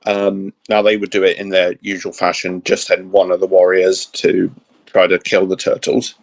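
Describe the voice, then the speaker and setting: A man co-hosting a geek show, chatting casually and piecing his ideas together haltingly as he goes. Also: sits low, completely flat delivery, poor audio. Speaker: co-host of a geek discussion show. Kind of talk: casual chat, pitching ideas.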